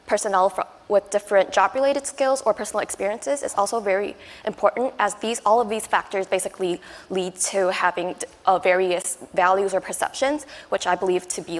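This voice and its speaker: A woman from Taiwan answering as a panelist, speaking carefully with fillers and small self-corrections. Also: mid-range voice. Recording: hall reverb.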